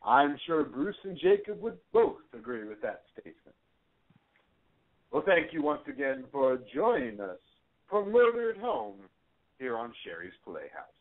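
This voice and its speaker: A man's voice: medium pitch, sing-song, a little slow. A man hosting an audio drama, delivering scripted lines in a sly, savoring, theatrical way. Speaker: host. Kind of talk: scripted theatrical anthology host outro. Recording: phone-like lo-fi line.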